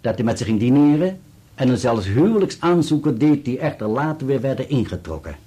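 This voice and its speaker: An actor playing a precise, formal solicitor in a radio play, with an articulate delivery. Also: male voice, mid-range voice, very animated, fairly quick, warm tone, breathy edge. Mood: declamatory, precise. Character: dutiful male solicitor reading an article aloud, precise and formal.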